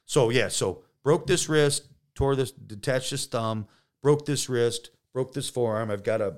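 A man with a deep, loud voice telling stories casually in a studio, joking and swearing as he acts out bits of dialogue. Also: a little slow. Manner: joking, profane, frequent quoted dialogue. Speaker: podcast guest, injured police detective. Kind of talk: casual storytelling. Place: podcast studio.

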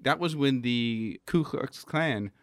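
A man's voice: medium pitch, slow, no echo, studio quality. A man chatting casually on a panel, candid and informal, with loose, sweary phrasing. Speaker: podcast cast member and panelist. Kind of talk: casual chat.

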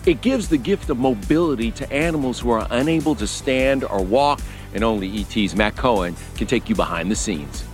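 A man's voice: medium pitch, expressive, fairly quick, over music. A man hosting an entertainment news show, reading upbeat scripted links and chatting with guests.

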